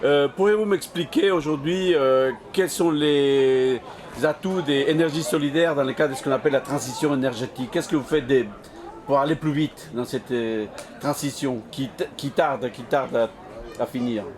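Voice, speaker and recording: A man's voice: medium pitch, normal speed, a crowd in the background. A man working as a local web TV interviewer, asking questions on location at a community fair. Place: community associations forum in a sports hall. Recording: music playing behind, busy event. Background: music.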